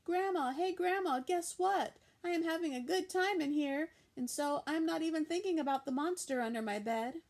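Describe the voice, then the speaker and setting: A woman voicing an excitable, bossy little girl of about six, shouting in short breathless bursts as an audiobook character. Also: high voice, moderate pace, hardly any pauses, voice pushed up. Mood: excited, frantic.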